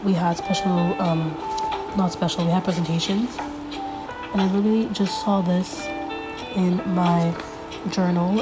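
Congested young woman rambling over music. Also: lowish voice. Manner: rambling, filler-heavy, thinking aloud while flipping pages. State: congested from a recent illness. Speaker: podcast host. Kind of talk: casual solo podcast chat.

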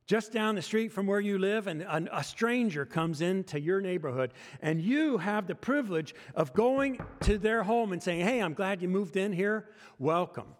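A man's voice: sits high, long reverb tail. A man with an American accent preaching a sermon as pastor, talking conversationally and joking with the congregation. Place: church sanctuary.

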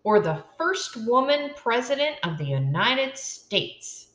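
An older woman voicing a kind, thoughtful young girl who speaks gently, in a storybook reading. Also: a little high, big pitch swings, slow, voice pushed up, exaggerated voice. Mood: gentle. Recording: roomy echo.

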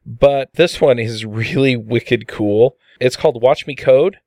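A man's voice: medium pitch, a little slow, a little mellow, no room sound, clean studio sound. A man hosting a podcast panel, upbeat as he moves the show along. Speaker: podcast host. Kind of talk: casual podcast chat.

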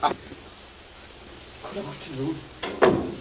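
An actor playing a terrified, shaken captain in a radio drama, stammering and pleading. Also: male voice, extremely slow. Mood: shaken, faltering. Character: terrified, shaken sea captain held captive. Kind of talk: old-time radio drama acting. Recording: tinny band-limited old radio, faint noise.

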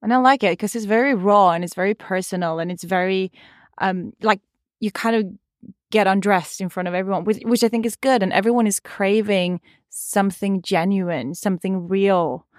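Woman, earnest, mid pitch, dry sound. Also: moderate pace, no room sound. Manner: earnest, uses "like" and "kind of" often. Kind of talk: casual podcast chat.

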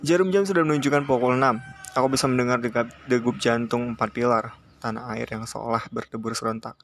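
Male narrator for literary fiction, measured and flat in intonation, mid-range voice, light noise behind. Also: medium pitch. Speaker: audiobook reader. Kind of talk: scripted literary narration in first person.

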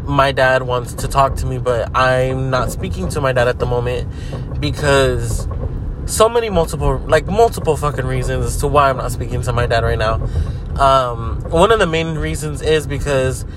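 A man of twenty-five, slightly sick with a deeper voice than usual, hosting a casual vlog podcast while driving, rambling loosely with lots of swearing and fillers. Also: moderate pace. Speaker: podcast host. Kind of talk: casual talking-to-camera vlog. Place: inside a car while driving. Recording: clear car noise behind. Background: car road noise.

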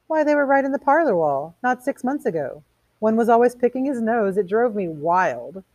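A woman's voice: a little high, sing-song, normal speed, darkish tone, no echo, pitched above the performer's own voice. A woman voicing a shallow, prim society lady from a novel, chattering in an affected, gossipy way. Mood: disdainful gossip.

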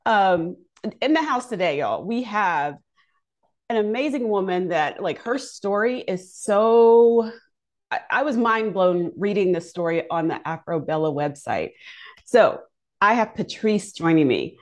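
A woman's voice: medium pitch, expressive, unhurried. A woman co-hosting a podcast, introducing guests in a chatty, informal way and laughing easily. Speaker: podcast co-host.

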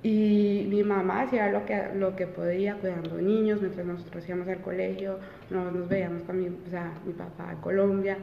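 A woman's voice: fairly low pitch, little pitch movement, normal speed, vocal fry, hardly any pauses, a little hiss or hum. A woman in her twenties, an interview guest telling her own story casually, with many fillers and restarts. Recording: roomy, reverberant, dull sound.

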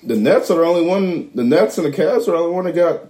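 Excitable man in fast, filler-heavy sports banter. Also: low-quality recording. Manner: excitable, many fillers and restarts, animated pitch jumps. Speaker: podcast co-host. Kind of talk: casual sports chat.

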